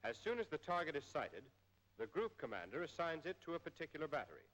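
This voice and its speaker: An American man reading scripted instructional narration in a formal, measured way, as in an old military training film. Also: on the high side, big pitch swings, a bit bright, low-quality recording.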